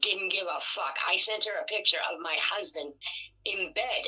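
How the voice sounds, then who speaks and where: A woman with a raspy voice venting casually in a blunt, profane, self-deprecating way. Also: mid-range voice, normal speed, bright, forceful tone, poor audio.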